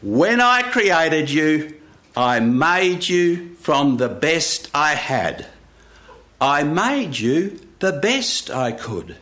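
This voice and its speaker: A patient, exasperated Creator from a live folk tale , acted out by a male storyteller. The deity speaks deliberately and bluntly, stressing words with lively pitch. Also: full character voice. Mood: patient, earnest.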